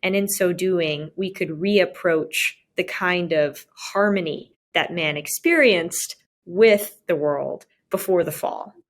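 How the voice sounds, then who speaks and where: A woman's voice: lowish voice, slow, few pauses. A woman guesting on a podcast, explaining ideas in an articulate, academic manner. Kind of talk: explanatory intellectual discussion.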